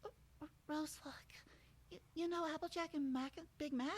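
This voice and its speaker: A man voicing a timid, gentle young female cartoon pony in a hesitant, hushed, breathy falsetto. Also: steady tone, moderate pace.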